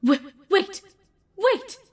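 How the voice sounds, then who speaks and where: A woman performs a dark pony princess from a storybook, a theatrical villainess. The voice is pushed high and loud, haughty and indignant, full of imperious temper. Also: sing-song, very slow, full character voice. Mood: frantic pleading.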